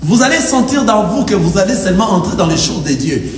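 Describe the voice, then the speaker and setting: High-voiced preaching man in echoey hall. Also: very animated, brisk. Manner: emphatic preaching with call-and-response. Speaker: pastor. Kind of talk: sermon. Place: church hall. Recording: echoey hall, distant mic, faint noise. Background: congregation answering amen.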